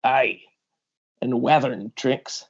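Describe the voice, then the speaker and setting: A man's voice: medium pitch, slow pace, vocal fry, husky, muffled recording, voice pushed down, heavily acted. Man reading a wry, seasoned pirate bounty hunter.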